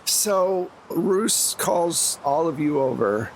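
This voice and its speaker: A man's voice: on the high side, lively intonation, slow, a little hiss or hum, poor audio. A man narrating a tabletop roleplaying game as game master, in a conversational, plain-spoken way. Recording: music behind the voice.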